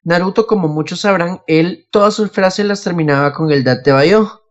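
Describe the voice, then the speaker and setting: A man's voice: high voice, normal speed. A man with a Latin American Spanish accent explaining things in a casual podcast, posing questions and answering them himself, on a lo-fi recording. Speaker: podcast host. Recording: dull, lo-fi voice connection.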